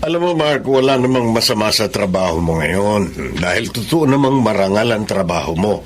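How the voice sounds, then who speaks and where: An actor playing a kindly married benefactor in a Filipino drama, speaking in an earnest, courteous, reassuring way. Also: male voice, on the low side, expressive, normal speed, slight background noise, lightly acted. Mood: earnest, encouraging.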